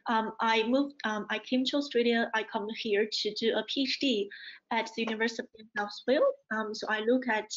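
Woman in her 30s, native Mandarin speaker, fairly high voice, dull call audio. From mainland China. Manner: composed, with soft fillers. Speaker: interpreter and researcher. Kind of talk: formal self-introduction on a video call.

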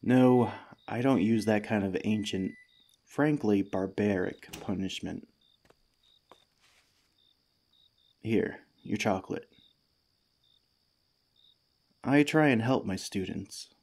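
A man playing a kindly, patient head teacher in a gentle, soothing ASMR comfort roleplay. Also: fairly low pitch, unhurried. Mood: gentle, reassuring.

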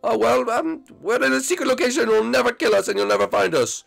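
A man voicing an excitable, melodramatic cartoon video game hero in an exclamatory, dramatic way. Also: very high voice, quick pace, creaky, soft background music, pitched above the performer's own voice, full character voice. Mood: alarmed, defiant.

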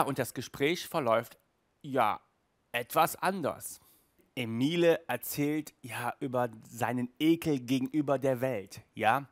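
Slow-paced man hosting a chatty review. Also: mid-range voice, fairly bright tone, no echo. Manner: chatty, emphatic, peppered with tag words. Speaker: audio drama reviewer and host. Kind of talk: casual review talk to camera. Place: small indoor room at home. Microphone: headset.

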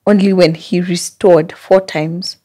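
A woman's voice: lowish voice, steady tone, a little slow, warm tone. Kenyan woman reflecting aloud in conversation. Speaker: podcast guest, public theologian. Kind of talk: casual podcast conversation. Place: small indoor podcast studio. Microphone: close boom-arm studio microphone.

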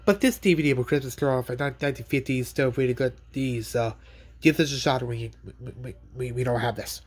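Stuffy-voiced man filming on his phone at home; halting, repetitive, casual. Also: mid-range voice. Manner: halting, repeats words and restarts often. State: has a cold. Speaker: collector showing DVDs. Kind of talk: casual unboxing vlog. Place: bedroom, indoors. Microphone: handheld phone pointed at bed.

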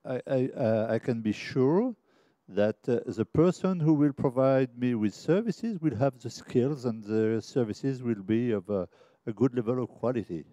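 A man in a conference audience asking a long question, hesitant with many pauses and restarts. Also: medium pitch, animated, warm tone, no room sound.

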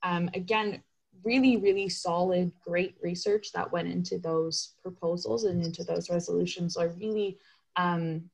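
Lower-pitched woman, halting delivery. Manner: hesitant, halting delivery with repeated words. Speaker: advocacy coordinator leading a meeting. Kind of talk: informal meeting presentation. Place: indoors on a group video call. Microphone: computer video call.

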